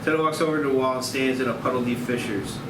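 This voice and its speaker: A man's voice: medium pitch, fast. A man reading scripted stage directions as the narrator of a screenplay table read. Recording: echoey, distant mic, loud room noise.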